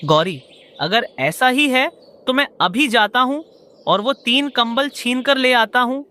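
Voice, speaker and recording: A woman's voice: fairly high pitch, measured pace, a little hiss or hum. A woman voicing a greedy, bullying father crow in a moral cartoon, with a blustering manner. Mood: menacing. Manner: blustering, forceful. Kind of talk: Hindi moral cartoon voice acting.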